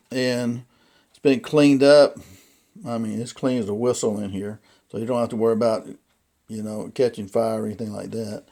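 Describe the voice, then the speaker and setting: A man casually presenting and explaining a vintage item without a script, in a halting, rambling, folksy way. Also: mid-range voice, warm tone. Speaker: vintage radio collector presenting a radio. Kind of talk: casual unscripted demonstration.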